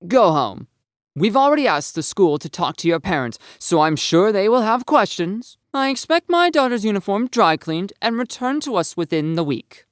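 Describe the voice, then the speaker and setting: A narrator voicing a stern, impatient, angry mother who scolds teenagers in a brisk, commanding way for an audio story. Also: mid-range voice, big pitch swings, no room sound, clean studio sound, voice pushed up, close to an everyday voice. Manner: brisk, commanding, sharp questioning.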